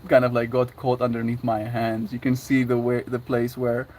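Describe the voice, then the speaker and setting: A man's voice: medium pitch, completely flat delivery, normal speed, a little mellow, slight background noise. A man chatting casually on his livestream, laughing often and reading out chat messages.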